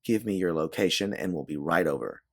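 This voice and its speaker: A man's voice: sits low, fairly quick. A man voicing an evasive, persistent woman who placates and presses in an audio story. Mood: placating, insistent. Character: insistent, evasive woman on a phone call.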